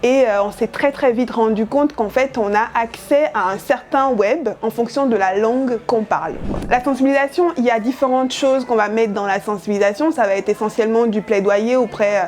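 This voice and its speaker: A woman's voice: fairly high pitch, a little fast, hardly any pauses, darkish tone. A young woman whose first language is Yoruba, speaking earnestly and thoughtfully about her work as a journalist, with frequent hesitant fillers. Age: young adult. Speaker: journalist and editorial project lead.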